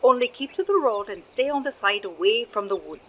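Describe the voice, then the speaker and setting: A woman's voice: on the high side, animated, a little fast, dull audio. A woman playing a motherly, superstitious Irish innkeeper in a radio play, folksy and emphatic, with an Irish accent. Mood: reassuring. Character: homely, superstitious Irish woman innkeeper, hospitable but guarded.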